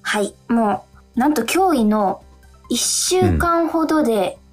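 A woman's voice: on the high side, expressive, takes it slowly, soft background music. A woman co-hosting a radio show, chatting casually and effusively about her work. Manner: enthusiastic, effusive. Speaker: radio show co-host, stage actress.

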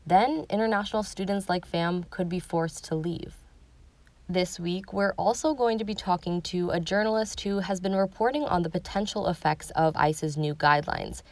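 A woman reading a scripted news report as host, in a measured, even delivery. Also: on the low side, no echo.

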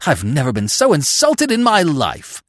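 Man acting an irate, blustering club gentleman, wildly animated. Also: a little high, normal speed, dry recording, clean studio sound, voice pushed up. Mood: indignant ranting. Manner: snapping, indignant ranting. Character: irascible, affronted male club guest, blustering and sarcastic. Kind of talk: comic audiobook character.

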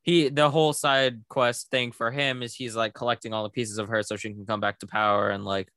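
Laid-back man with a bright, dry voice. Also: mid-range voice, flat delivery, brisk, clear, resonant tone, no echo. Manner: laid-back, offhand, with many fillers. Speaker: podcast co-host. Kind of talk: casual chat reviewing a film.

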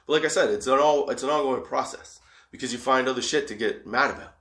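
A high man rambling casually and profanely about getting past setbacks, laughing often. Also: quick pace, a bit bright, roomy sound. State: high on cannabis.

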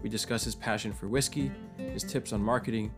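A man's voice: on the low side, fairly even intonation, a little fast. A man narrating a scripted podcast introduction as the host. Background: loud plucked guitar music.

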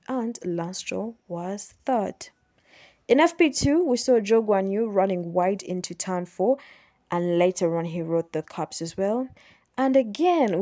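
Mellow-voiced woman podcaster from Uganda. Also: mid-range voice. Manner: chatty, explains step by step, self-correcting. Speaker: podcast host. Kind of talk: solo sports recap podcast.

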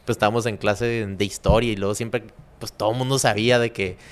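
A man hosting a podcast, speaking with a northern Mexican Spanish accent and a relaxed, slang-heavy manner. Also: fairly low pitch, fast. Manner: slangy, relaxed, lots of "güey" and "pues". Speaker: podcast host. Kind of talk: casual podcast conversation.

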